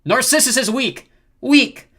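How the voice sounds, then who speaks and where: A man's voice: high voice, big pitch swings, normal speed, a bit bright, pitched above the performer's own voice. A man voicing an eager female nymph in an audiobook, parroting words with squealing enthusiasm. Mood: enthusiastic, squealing. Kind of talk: audiobook character voice.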